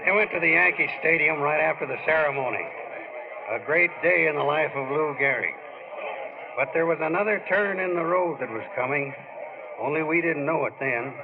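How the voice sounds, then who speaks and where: An actor playing a reflective narrator, telling a story in a scripted 1940s radio drama style. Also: male voice, a little high, moderate pace, lightly acted. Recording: muffled old radio, crowd noise behind.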